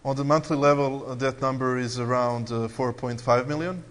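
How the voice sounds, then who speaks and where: A man's voice: mid-range voice, fairly even intonation, normal speed, fairly bright tone. A man with a Serbian accent introducing his company on a panel, speaking fluently but with frequent "uh" fillers and restarts, recorded in a conference hall. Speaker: tech company CEO on a panel. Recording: hall reverb, faint background noise.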